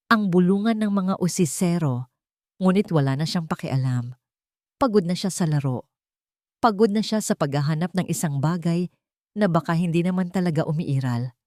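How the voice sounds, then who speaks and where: A woman narrates a scripted romance drama. Her voice sits low for a woman, and the sound is dry, with very little room in it. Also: expressive, normal speed, darkish tone, no echo. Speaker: storyteller narrator.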